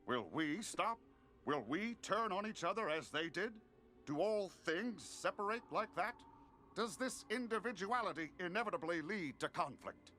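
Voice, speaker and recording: An actor voicing a naive, philosophical newly-sentient video game being with quaint, formal, wondering phrasing. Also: male voice, high voice, expressive, normal speed, bright, forceful tone. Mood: puzzled, musing. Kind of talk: video game character acting.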